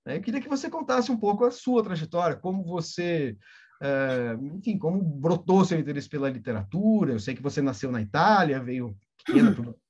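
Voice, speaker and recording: A man's voice: on the high side, lively intonation, a little fast, darkish tone, husky. A man hosting a casual interview at a library event, chatty, with many restarts. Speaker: host. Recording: muffled lo-fi audio.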